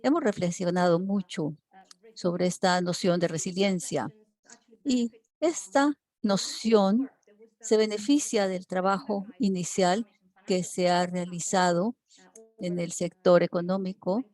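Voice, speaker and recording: A woman working as a simultaneous interpreter for an online seminar. She keeps a steady delivery, broken by frequent hesitation fillers. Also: fairly low pitch, takes it slowly. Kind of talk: live interpretation for a webinar.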